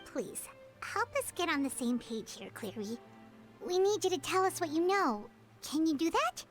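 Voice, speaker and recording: Small fairy companion, game character, high voice, chatty and earnest, faint music. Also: female voice, big pitch swings, normal speed. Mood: coaxing. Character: small chatty fairy companion, speaks of herself in third person. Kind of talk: game cutscene voice acting.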